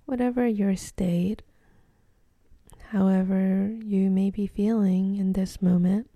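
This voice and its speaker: A woman leading a guided meditation in a soft, breathy, often near-whispered voice, gentle and soothing, with long pauses between phrases. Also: medium pitch, fairly even intonation, dry recording, clean studio sound.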